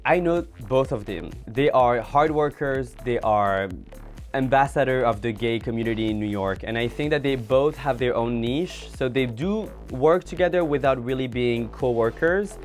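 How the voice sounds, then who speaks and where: A man's voice: medium pitch, moderate pace, soft background music. A man appearing as a guest on a casual chat show, speaking in an earnest, thoughtful way. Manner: earnest, thoughtful, laughs easily. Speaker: guest, drag performer.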